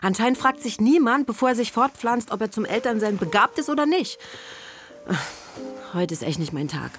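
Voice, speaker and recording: A woman's voice: on the high side, animated, normal speed, soft background music, close to an everyday voice. A woman playing a brash, self-mocking middle-aged actress who uses drugs, in a naturalistic radio drama monologue with blunt, conversational delivery. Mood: irritated, blunt.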